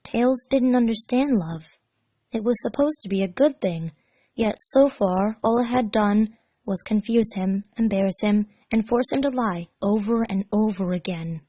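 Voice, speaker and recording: A woman reading a scripted story aloud as narrator, measured and expressive. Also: mid-range voice, no room sound. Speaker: fan fiction narrator.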